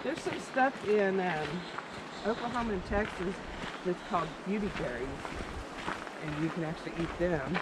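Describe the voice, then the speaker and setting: A woman's voice: fairly low pitch, moderate pace, heavy background noise. A woman chatting casually with her hiking companions outdoors. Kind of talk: casual chat. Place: outdoors on a trail.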